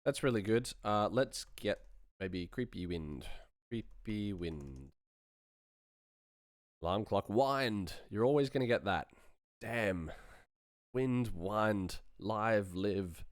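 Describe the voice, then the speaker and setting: Male sound designer, deep voice, close dry mic, informal thinking-aloud tutorial delivery. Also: lively intonation, slow pace, pauses often, dry recording. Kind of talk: casual live-stream tutorial.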